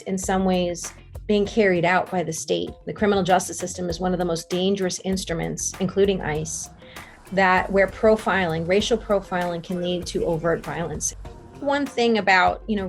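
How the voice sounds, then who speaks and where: Thoughtful woman explaining, soft music. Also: medium pitch. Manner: thoughtful, with fillers. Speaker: interviewed expert. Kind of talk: reflective interview answer.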